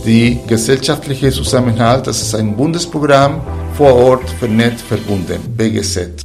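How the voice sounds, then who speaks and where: Halting male radio host, faint music. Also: medium pitch. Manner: slow, halting, formal introductions. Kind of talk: radio talk show moderation. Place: radio studio.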